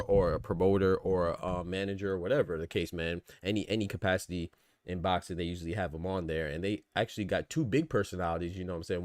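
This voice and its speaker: A man's voice: low-pitched, no echo, clean studio sound. A man from the United States hosting a boxing podcast, giving casual, opinionated livestream commentary in colloquial, conversational speech.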